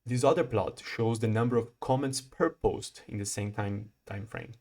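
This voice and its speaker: A young man from Brazil, a PhD student, giving a prepared research talk in a measured, explanatory way with occasional restarts. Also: on the low side, darkish tone.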